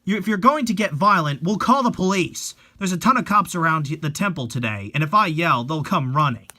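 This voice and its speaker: Someone doing scared schoolgirl in a horror story reading, sharp voice, bit husky, shaken but protective. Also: mid-range voice, animated, brisk, pitched above the performer's own voice. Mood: protective, urgent. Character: frightened teenage schoolgirl narrator, shaken, protective of friends. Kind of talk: dramatic reading of a horror manga.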